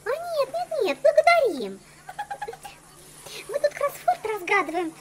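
Casting: chirpy cartoon prankster girl, squeaky high, bouncing intonation, cheeky. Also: big pitch swings, a little slow, heavy background noise, heavily acted. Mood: cheeky, excited. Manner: exclamatory, chirpy. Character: cheeky, high-spirited girl doll making prank calls. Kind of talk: children's cartoon dubbing.

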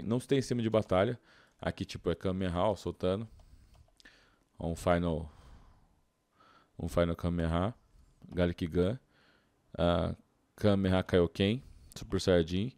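A man's voice: sits low, warm tone, no room sound. A Brazilian man doing casual gameplay commentary, informal and slangy, thinking aloud into a close mic. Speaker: gaming YouTuber.

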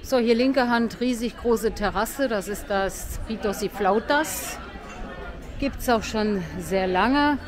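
A woman's voice: fairly high pitch, slow. A woman vlogger chattily narrating a casual walking tour along a seaside promenade, pointing out sights as she goes. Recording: busy crowd noise behind. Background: crowd.

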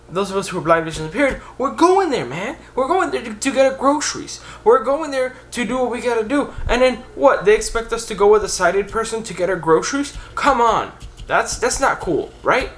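A young man with a fairly high voice talks straight to the camera in a casual vlog. He is earnest and animated, and his pitch climbs when he presses a point. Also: a little fast. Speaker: vlogger on blindness. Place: indoors, a green-screen backdrop of store photos.

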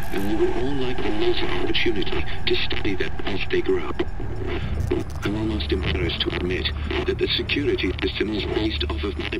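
A man's voice: fairly low pitch, very noisy, lightly acted. Man voicing a smug, pompous machine mind. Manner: pompous, formal lecturing. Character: smug, fussy mad-scientist security system AI, unhinged. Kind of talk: cinematic video game acting. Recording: game music and machinery behind voice.

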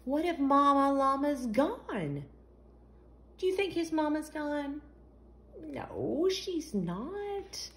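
Slow, animated woman reading to children. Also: sits high. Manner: sing-song, asks the young listeners questions. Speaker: children's storytime host. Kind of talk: expressive read-aloud of a picture book for young children.

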